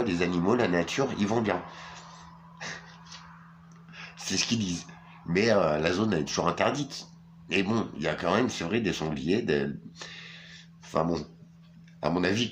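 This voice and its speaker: Tired, rambling deep-voiced Frenchman. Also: normal speed, pauses often, a little hiss or hum. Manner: rambling, crude, restless, many fillers and self-interruptions. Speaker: vlogger. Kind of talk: casual ranting monologue to camera.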